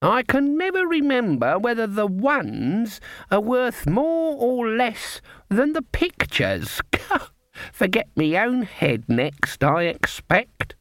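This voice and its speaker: A man voicing an old country witch who feigns naive eagerness in a fluttery, halting way, as an audiobook character. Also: high-pitched, huge pitch swings, fairly bright tone, dry recording, voice pushed up, full character voice. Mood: dotty, apologetic. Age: older.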